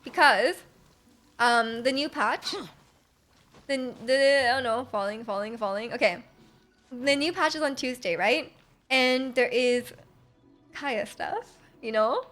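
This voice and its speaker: A woman live streaming a game, chatting casually with an excitable manner and often repeating her phrases. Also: on the high side, takes it slowly, long pauses. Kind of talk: casual live stream chat while playing. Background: game music.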